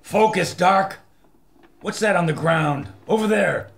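A man's voice: high-pitched, slow pace, creaky, a bit bright, heavily acted. An actor playing a brash man of action in a pulp radio adventure, punchy, slangy and commanding, with a wisecracking streak. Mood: brisk, wry.

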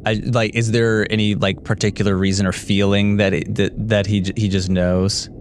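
A man playing a tabletop game, chatting casually in a playful, expressive way. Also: on the low side, normal speed, slight background noise. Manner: joking, expressive.

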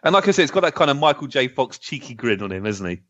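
A man chats as a podcast co-host with a very animated, swooping delivery. He is playful and joking, on a slightly muffled recording. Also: mid-range voice, fast talker. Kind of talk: casual chat.

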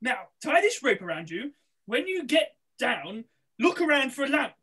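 A woman's voice: high-pitched, big pitch swings, moderate pace, fairly bright tone, slightly rough, full character voice. A woman playing a scheming, impatient male pantomime villain in a theatrical, insistent read-through. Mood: coaxing. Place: indoors at home, on a video call.

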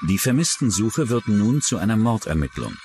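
A man's voice: fairly low pitch, big pitch swings, moderate pace, music in the background. A man reading scripted true-crime documentary narration as the narrator.

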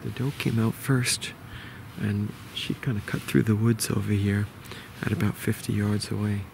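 Man, 40s, New York accent, gravelly low voice, hushed outdoor vlog, faint background noise. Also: steady tone, moderate pace. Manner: quiet, low-key explaining. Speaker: bowhunter. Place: outdoors in winter woods. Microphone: camera held close to face.

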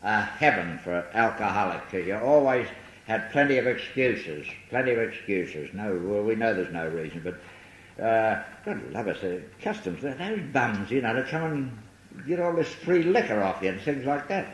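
A man's voice: fairly low pitch, very animated, normal speed. An older man with a Liverpool accent, giving a rambling, self-mocking personal testimony at a recovery meeting. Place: large hall. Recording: echoey hall, faint hiss on old tape.

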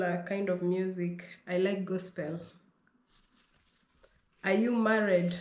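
A woman with a Kenyan accent talks in an unhurried, deliberate way, reading each viewer's question out loud and then answering it herself. She speaks at a mid pitch, and her voice rings a little off the bare bedroom walls. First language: Pokomo. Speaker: vlogger. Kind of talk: Q&A vlog. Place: small bedroom indoors. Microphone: fixed camera at desk distance. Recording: echoey bedroom.

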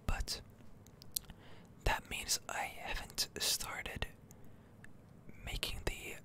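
Slow whispering ASMR gamer. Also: bright, forceful tone. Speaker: gaming streamer, ASMR video host. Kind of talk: whispered ASMR game commentary.

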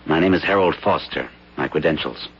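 A man's voice: rumbling low, a little fast, gravelly, breathy edge, exaggerated voice. An actor playing a stern, matter-of-fact male intelligence agent in a 1970s radio drama. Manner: curt, cool, matter-of-fact. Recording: muffled old radio broadcast.

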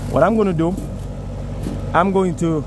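Ny hvac guy filming himself on a job, kinda high voice, chatty, lots of outdoor noise behind him. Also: moderate pace. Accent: New York. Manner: casual, explains step by step and addresses viewers directly. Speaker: HVAC technician. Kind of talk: on-the-job video walkthrough. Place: outdoors beside a house at an air conditioner unit.

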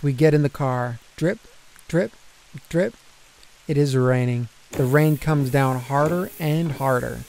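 A man narrating a children's picture book aloud, with deliberate, expressive phrasing for young listeners. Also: mid-range voice, a little hiss or hum. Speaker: storybook narrator.

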